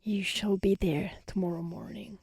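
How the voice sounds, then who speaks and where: A woman voicing a gentle, lovesick beast in a soft-spoken, mournful, formal storybook reading. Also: mid-range voice, normal speed, vocal fry, natural voice. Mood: tender farewell.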